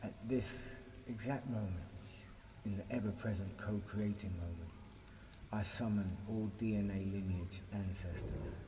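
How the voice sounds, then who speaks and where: Male reader, fairly deep, ceremonial and deliberate delivery of a spiritual decree, drenched in long echo. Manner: measured, declarative, ceremonial. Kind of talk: solemn scripted spiritual invocation reading. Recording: long reverb, clearly noisy ambient background.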